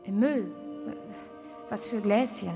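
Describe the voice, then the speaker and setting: An actress playing a brisk, insistent police investigator in a naturalistic radio crime drama, with direct, pressing questions. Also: female voice, medium pitch, vocal fry, warm tone, faint music behind.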